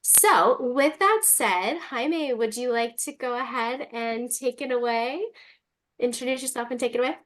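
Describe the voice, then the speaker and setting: A woman's voice: on the high side, measured pace, a bit bright. A woman hosting a webinar on a video call, chatty and loose as she introduces the guest speaker. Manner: chatty, many ums and likes. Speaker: webinar host.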